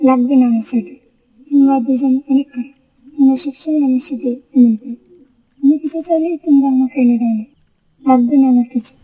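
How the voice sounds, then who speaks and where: A woman's voice: high-pitched, fairly even intonation, a little fast, dark tone, breathy edge. A woman voicing a sulky, pleading little boy in a cartoon, whiny and insistent. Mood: upset. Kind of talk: cartoon voice acting. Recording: muffled, music bed behind. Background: background music.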